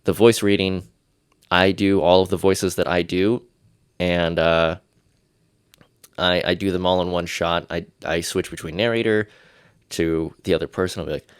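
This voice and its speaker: Congested low-voiced man rambling on a podcast. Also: fairly even intonation, normal speed, long pauses, clear, resonant tone, dry recording, clean studio sound. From Irish. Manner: rambling, self-deprecating, jokey. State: congested, stuffy from sinuses. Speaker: podcast host. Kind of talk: solo podcast chat.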